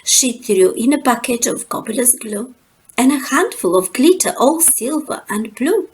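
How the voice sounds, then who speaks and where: Slow, highly expressive woman storyteller. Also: rough recording. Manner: rhythmic, dramatic pauses, drawn-out words. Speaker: storyteller reading a children's picture book. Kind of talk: expressive rhyming storybook narration.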